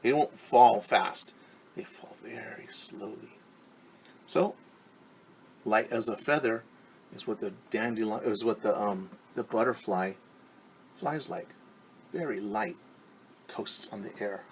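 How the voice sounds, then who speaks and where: A man teaching young children through a story, reading aloud and explaining gently and patiently with questions. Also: fairly low pitch, takes it slowly, vocal fry, long pauses, slight background noise, rough recording.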